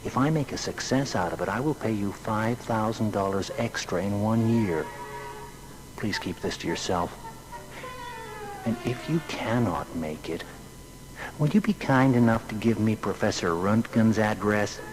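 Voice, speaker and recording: A man's voice: on the low side, a little slow, music in the background, natural voice, poor audio. An actor playing a scheming gambler who reads out his earnest, formal letter in an audio biography drama. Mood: earnest, confiding. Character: scheming male gambler writing an earnest, polite letter to an inventor.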